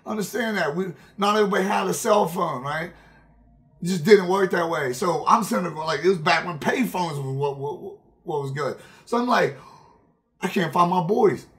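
A man in his thirties with a distinctly high voice for a male. He tells stories casually on a livestream, swearing freely. His delivery is animated and emphatic, circling back to repeat phrases for effect. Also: moderate pace. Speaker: game streamer. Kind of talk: casual, profane storytelling on a livestream. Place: indoor room at a streaming setup.

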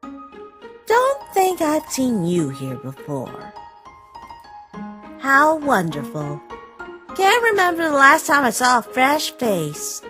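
A woman voicing a friendly, motherly rabbit shopkeeper, chatty and welcoming, in an animated comic dub. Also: sits high, slow pace, long pauses, soft background music, exaggerated voice.

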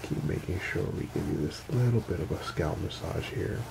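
A man in his thirties speaking softly and soothingly in an ASMR hairdresser roleplay, hushed and reassuring. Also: low voice, lively intonation, normal speed, vocal fry, background noise. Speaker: ASMR performer playing a hairdresser. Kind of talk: ASMR roleplay, soft-spoken. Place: small indoor room with salon products.

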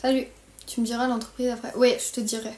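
High-voiced young French woman, roomy sound. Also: little pitch movement, quick pace, darkish tone. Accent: French from France. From France. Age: early 20s. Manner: slangy, blunt, griping. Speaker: live streamer. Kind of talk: casual livestream chat. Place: small bedroom, indoors. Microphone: phone at arm's length. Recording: roomy echo on phone microphone.

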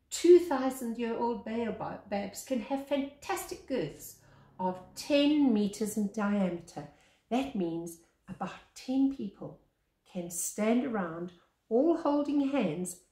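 An older woman, a teacher, reads a picture book aloud with deliberate phrasing and pauses between phrases. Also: medium pitch, mellow. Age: older, 60s or above. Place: small living room, indoors. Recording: echoey room sound.

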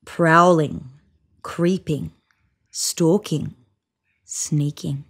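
A woman in her thirties reading a children's picture book aloud on a sofa, with voiced sound effects and hushed whispers. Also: low voice, drawn-out words, husky. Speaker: storybook reader. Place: indoors on a sofa.

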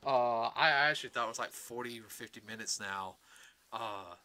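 A man with a slightly raspy voice co-hosting a podcast, chatting casually about a movie and rambling with many fillers. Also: medium pitch, normal speed, sharp tone. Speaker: podcast co-host. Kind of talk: casual movie review chat.

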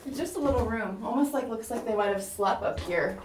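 A woman's voice: a little high, fairly quick. A woman in her thirties exploring a rocky cave with her family, chatting and marvelling at what she sees. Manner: chatty, marvelling, laughs easily. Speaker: vlogger and mother. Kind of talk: casual chat while exploring.

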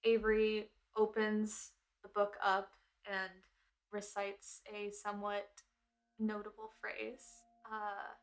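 A woman playing a tabletop game, improvising descriptive narration in measured short phrases with pauses. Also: mid-range voice, completely flat delivery, rough recording.

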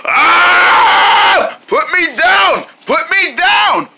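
A clumsy yellow owl from a cartoon, acted out by a performer, with a bright, forceful voice. He is silly and folksy, swooping up and down and stammering when exasperated. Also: medium pitch, moderate pace, breathy edge, heavily acted, rough recording. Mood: annoyed, protesting. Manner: folksy, excitable, stammering protests. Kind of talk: animated cartoon acting.

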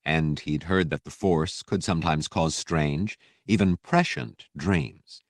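A man reading a fiction audiobook as narrator, with measured, dramatic storytelling delivery. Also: low-pitched, lively intonation, vocal fry, no echo, studio quality.

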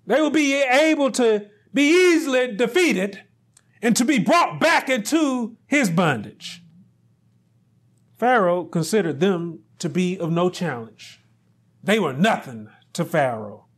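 A middle-aged man delivering a sermon in a small studio, his voice pitched noticeably high. He takes his time, drawing out phrases unhurriedly. His voice swells into loud, high emphasis at the key points. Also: animated. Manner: slow, deliberate preaching that climbs into loud, high emphasis. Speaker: pastor.

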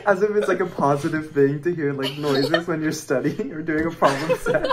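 A woman's voice: deep voice, big pitch swings, normal speed, a loud crowd. A young woman on a casual group video call, laughing and making sardonic comments. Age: young adult.

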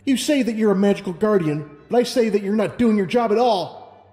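Man putting on a high-voiced headstrong young mare. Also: animated, fairly quick, warm tone. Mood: indignant. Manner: blunt, questioning. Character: young female unicorn, skeptical, blunt and headstrong. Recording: long hall reverb over music.

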